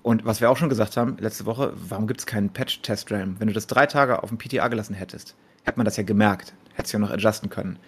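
A man's voice: fairly low pitch, quick pace, few pauses, a little hiss or hum. A man on a gaming podcast panel, casually explaining his views in colloquial speech mixed with English jargon.

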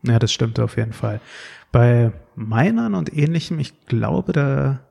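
A man's voice: mid-range voice, unhurried, gravelly, dark tone, slightly rough. A man hosting a casual podcast conversation, hesitant and searching for his words, with many fillers. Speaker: podcast host.

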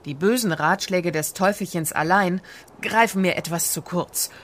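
A woman's voice: lowish voice, normal speed, natural voice. A woman playing Santa's harried, pragmatic and grumbling wife in a fairy-tale radio play. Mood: businesslike.

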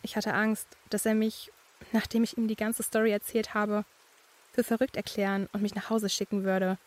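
A woman playing a young student who narrates her eerie experiences in a measured, scripted first-person style. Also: mid-range voice, flat delivery, a little mellow, lightly acted. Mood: measured, uneasy.

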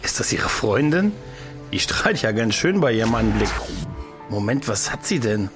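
Man playing an animated, curious sidekick. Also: lowish voice, normal speed, over music. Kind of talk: hobby sci-fi audio drama acting.

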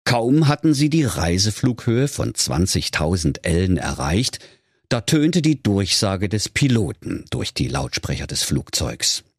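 Man, lowish husky voice, very expressive intonation, mock-solemn comic storybook narration. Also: measured pace, dry recording, clean studio sound. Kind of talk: comedic scripted fairy-tale narration.

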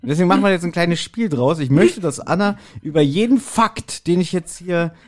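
A man's voice: high voice, lively intonation, a little fast. A man co-hosting a podcast in speech, chatting casually with a jokey, rambling manner. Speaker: podcast co-host.

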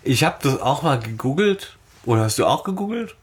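A man's voice: medium pitch, very animated, brisk, slightly rough. A man co-hosting a podcast, chatting casually and colloquially as he retells and discusses a story. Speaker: podcast host. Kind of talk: casual chat retelling and discussing an audio drama.

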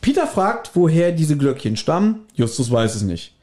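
A man with a soft-edged, relaxed tone and a slightly raised pitch hosts a casual podcast. He recaps from his own notes in a playfully ironic way. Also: normal speed. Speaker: podcast host.

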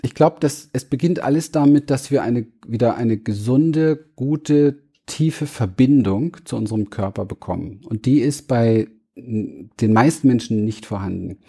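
A man's voice: medium pitch, a little slow, vocal fry, dark tone, slightly rough. A man speaking earnestly as a guest in an interview, searching for words and restarting phrases as he explains. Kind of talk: reflective interview talk.

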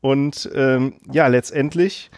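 A man's voice: mid-range voice, fairly even intonation, slow pace, darkish tone, dry recording, clean studio sound. A man co-hosting a podcast who tells a story casually, full of fillers and chuckles. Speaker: podcast co-host. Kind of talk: casual chat.

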